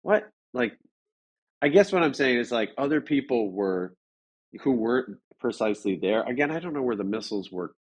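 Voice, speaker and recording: An American man from Chicago telling stories casually as an interview guest, halting and rambling with lots of filler words. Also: mid-range voice, sing-song, slightly rough. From Chicago, United States. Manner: halting, rambling, frequent "like" and "you know". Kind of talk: casual conversational storytelling. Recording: dull lo-fi audio.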